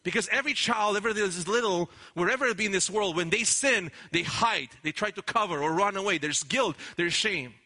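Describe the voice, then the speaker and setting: High, bright-voiced earnest preacher. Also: male voice, a little fast, hardly any pauses. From Romania. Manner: earnest, emphatic preaching with frequent restarts. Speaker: pastor. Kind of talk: sermon.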